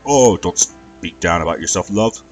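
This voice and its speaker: A man's voice: low voice, animated, normal speed, faint music behind. A man voicing a large, polite male dragon in a story, with a British accent and a pompous, flowery manner. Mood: genteel, grateful.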